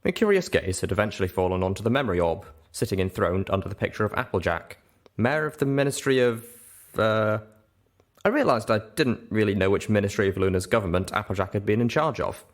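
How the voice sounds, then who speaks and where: A man's voice: on the low side, lively intonation, brisk. A man reading a scripted first-person story as an audiobook narrator.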